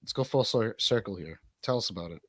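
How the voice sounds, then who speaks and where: Male talk host, rambling and bantering, very animated intonation, close dry sound. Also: fairly low pitch, a little fast, dry recording. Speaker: radio show host and DJ. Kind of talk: casual chat.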